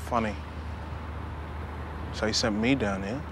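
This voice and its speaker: An actor playing a blunt, sceptical, streetwise undercover police sergeant in a naturalistic crime drama, using slangy, challenging talk. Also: male voice, on the low side, a little slow, background noise, natural voice. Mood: blunt, wary.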